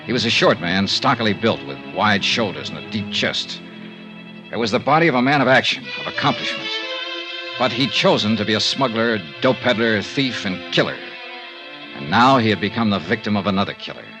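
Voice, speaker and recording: A man acting as a tough, world-weary American insurance investigator in an old radio drama. His delivery is clipped and hard-boiled, with lively swings of pitch, on a vintage recording with music. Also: brisk, close to an everyday voice. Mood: grim. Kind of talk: 1950s radio detective acting.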